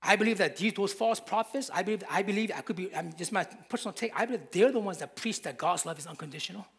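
High-voiced, emphatic preacher. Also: male voice, fast talker, vocal fry, breathy edge. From Korea. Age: 50s. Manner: animated, emphatic preaching with repeated phrases. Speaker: pastor. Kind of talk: sermon. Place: church stage at a pulpit.

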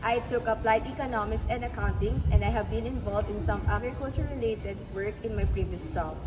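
A woman from the Philippines, a master's student, reading a promotional narration in a careful, deliberate way. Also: medium pitch, loud music behind the voice, rough recording.

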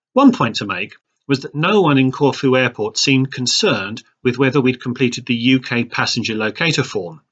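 A man's voice: medium pitch, fairly bright tone, slightly rough, muffled recording. A middle-aged British man reading a scripted travel vlog voice-over with dry, wry understatement. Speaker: travel vlogger.

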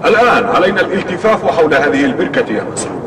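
A man's voice: a little high, sing-song, rapid-fire, background noise. A man voicing a kindly, brave uncle guiding a boy in a dubbed cartoon, with a fatherly, encouraging and dramatic delivery. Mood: cheerful, encouraging. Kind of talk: dubbed cartoon acting in formal Arabic.

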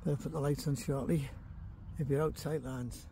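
Teesside bloke chatting casually outside, slowish n mellow, bit of background noise. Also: male voice, fairly high pitch, fairly even intonation, gravelly. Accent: Teesside English. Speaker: angler vlogger. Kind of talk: casual vlog chat. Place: outdoors on a riverbank at night. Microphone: camera or phone mic.

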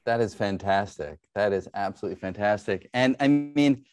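A man's voice: lowish voice, animated, moderate pace. A middle-aged man hosting an interview over a video call, asking long questions and giving brief responses. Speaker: interview host. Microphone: video call webcam.

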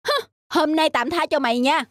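Woman playing a high, scolding street vendor. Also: normal speed, a bit bright, a little hiss or hum. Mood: indignant. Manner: scolding, indignant. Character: angry, brash middle-aged female street vendor. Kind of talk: cartoon voice acting.

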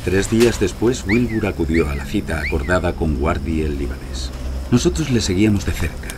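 An actor playing a composed, methodical investigator, telling and briefing in a measured, dramatic audio-drama style. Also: male voice, low voice, animated, background noise. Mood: instructive. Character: composed, methodical male investigator narrating his operation.

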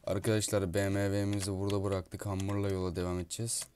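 A man's voice: deep voice, completely flat delivery, fast, crowd noise. A man improvising comic game commentary as a roleplay video host, swearing freely. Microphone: gaming mic at a computer. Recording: constant noise with keyboard and mouse clicks.

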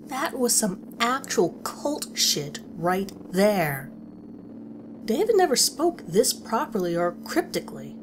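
A woman acting an animated, concerned teacher telling horror. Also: mid-range voice. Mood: alarmed. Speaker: horror story narrator. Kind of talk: dramatic scripted narration. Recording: clearly audible background ambience noise.

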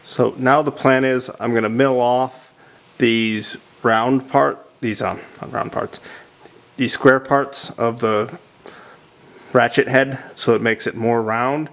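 A man's voice: medium pitch, fairly even intonation, takes it slowly, vocal fry, a bit bright. A middle-aged man casually explaining his work in an informal, hesitant, self-deprecating way, recorded in an indoor workshop. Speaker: maker presenting a workshop build. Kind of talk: casual explanation while working. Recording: faint workshop background noise.

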